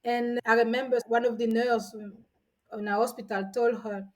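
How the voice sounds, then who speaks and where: A woman's voice: fairly high pitch, level tone, moderate pace, a little mellow, poor audio. A woman in her forties from São Tomé and Príncipe, a guest telling stories in a chatty way with frequent restarts. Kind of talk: casual storytelling.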